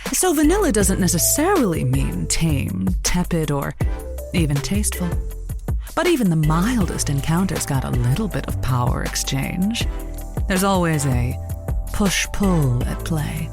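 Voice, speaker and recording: Sassy, flirtatious radio hostess, animated delivery. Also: female voice, low voice, measured pace, over music, heavily acted. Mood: sultry, theatrical. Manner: arch, teasing wordplay. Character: sassy, flirtatious woman, late-night sex-advice radio host. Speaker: radio show host. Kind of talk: scripted audio comedy drama.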